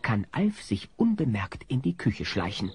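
An actor narrating a family comedy radio play as a wry storyteller. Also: male voice, medium pitch, sing-song, unhurried, darkish tone, a little hiss or hum.

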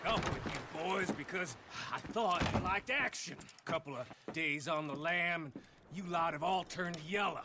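An actor playing a swaggering, mean American outlaw with a taunting, touchy manner in a video game. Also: male voice, a little high, close to an everyday voice. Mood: mocking. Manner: taunting, drawn-out. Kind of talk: dramatic video game acting. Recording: loud ambient noise behind game dialogue.

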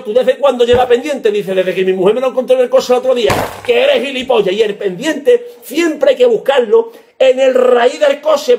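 A man, ranting loudly and comically to a webcam in a small room, gesturing wildly and swearing. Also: very high-pitched. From Spain. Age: 30s. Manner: loud, animated, gesturing wildly, swearing and shouting. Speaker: comedy vlogger. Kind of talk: comic rant to camera. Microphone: laptop webcam.

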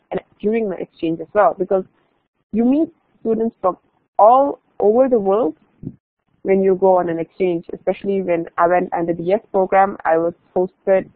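A woman's voice: medium pitch, no room sound. A young woman from Malaysia giving a casual online presentation about her exchange year, often saying "like" and restarting phrases. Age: young adult. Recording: tinny phone-like online call audio.

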